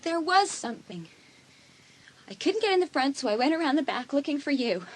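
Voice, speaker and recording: A woman's voice: high-pitched, big pitch swings, brisk. An actress playing an easily frightened, indignant teenage girl in a dramatised horror audiobook. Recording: music under the voice.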